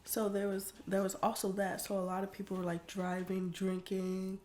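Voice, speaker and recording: A woman's voice: mid-range voice, monotone, normal speed, a little mellow, a little hiss or hum. A young woman from the United States chatting casually on a podcast, full of fillers and frequent laughter. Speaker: podcast guest.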